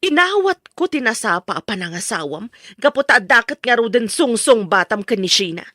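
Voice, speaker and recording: Woman acting stern, forceful Ilocano mother. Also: fairly high pitch, big pitch swings, fairly quick, no room sound, clean studio sound, heavily acted. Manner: firm, emphatic, lecturing. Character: stern, supportive middle-aged mother scolding and guiding her children. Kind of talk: Ilocano audio drama acting.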